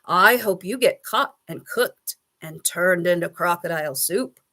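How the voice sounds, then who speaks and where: A woman's voice: on the low side, unhurried, pushed and bright. A woman voicing an indignant male animal in a children's storybook, exclaiming and scolding in shocked tones. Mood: outraged. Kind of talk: children's storybook character reading.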